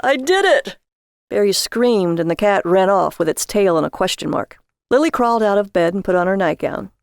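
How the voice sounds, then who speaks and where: A woman's voice: medium pitch, fairly quick, creaky, darkish tone, no echo, clean studio sound. A woman reading scripted narration as the storyteller of a comic horror short story.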